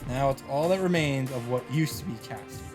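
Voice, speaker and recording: A man's voice: mid-range voice, fairly quick, music in the background. A man reading a visual novel story aloud as a host, in a dramatic narrating style.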